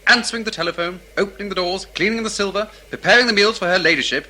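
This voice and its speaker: An actor playing a pompous, long-suffering servant, prim and sarcastic, in a sci-fi audio drama. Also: male voice, high voice, quick pace, bright, forceful tone, exaggerated voice. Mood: exasperated.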